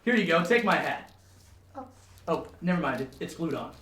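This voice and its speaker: Woman playing a brash, bright-voiced adventurer hero puppet. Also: sits low, lively intonation, moderate pace. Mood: cocky. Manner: theatrical, exclaiming. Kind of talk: sock puppet movie parody. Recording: roomy, distant microphone.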